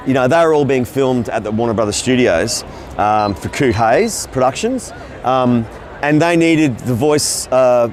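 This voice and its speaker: A man's voice: medium pitch, lively intonation, a little slow, slight background noise. Rambling middle-aged Australian man. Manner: loose rambling storyteller. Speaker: voice actor interviewee. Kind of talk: casual interview answers. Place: convention floor.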